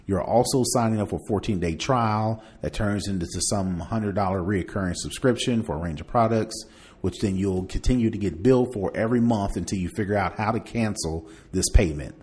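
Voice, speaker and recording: A man's voice: on the low side, a little fast, darkish tone. A man hosting a podcast, chatting casually through tech news and partly reading aloud, in a conversational way. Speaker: podcast host.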